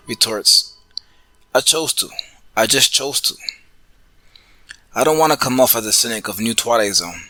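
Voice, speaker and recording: A man's voice: mid-range voice, bright, forceful tone, poor audio. A man from the Bronx sending in a prepared spoken review as a podcast listener, articulate and formally worded. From the Bronx, New York, United States.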